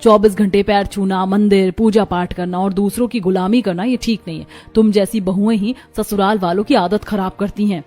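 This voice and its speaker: A woman's voice: medium pitch, steady tone, moderate pace, few pauses, warm tone, natural voice. Woman acting a rich snobbish daughter-in-law, scornful, curt, complaining, cartoon family drama, music behind. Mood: haughty scolding. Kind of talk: Hindi moral cartoon story dialogue.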